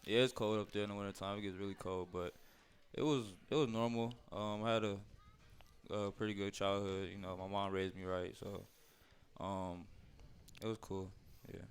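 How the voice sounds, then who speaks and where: A young man from Minnesota, a college basketball player, answering interview questions in a laid-back, soft-spoken way. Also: lowish voice, flat delivery, moderate pace. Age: young adult.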